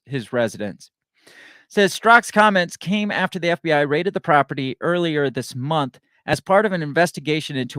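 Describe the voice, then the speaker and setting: A man's voice: on the high side, fairly bright tone, no room sound, clean studio sound. A young adult man hosting a political commentary show, talking casually and sarcastically, rambling with frequent restarts as he reads news stories aloud.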